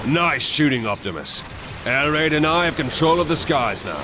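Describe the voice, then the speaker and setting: An actor playing a robot flight squadron leader in a video game, brisk and military in manner. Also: male voice, fairly high pitch, lively intonation, close to an everyday voice. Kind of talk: dramatic video game voice acting. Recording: loud music and battle noise behind.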